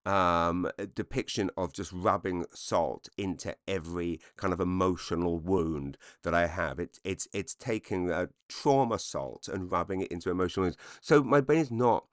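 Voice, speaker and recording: A man in his forties with a slightly croaky voice, rambling self-deprecatingly through a comedic solo podcast monologue. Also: sits low, moderate pace, hardly any pauses, no echo, clean studio sound. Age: 40s. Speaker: podcast host, stand-up comedian.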